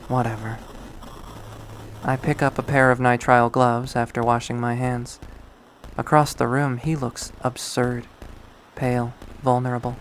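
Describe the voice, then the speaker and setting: A man reading a visual novel aloud as dramatic first-person narration, in a measured way. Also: medium pitch, low-quality recording. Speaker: game player reading aloud. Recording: faint background noise.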